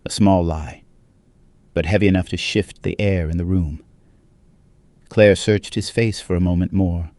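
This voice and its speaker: A man narrating a scripted dramatic story in a measured, hushed, solemn manner. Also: deep voice, steady tone, no echo.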